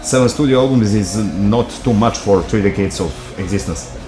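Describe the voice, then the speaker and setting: A man's voice: lowish voice, normal speed. A man with a Serbian accent putting prepared questions as an interviewer. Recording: roomy echo, music in background.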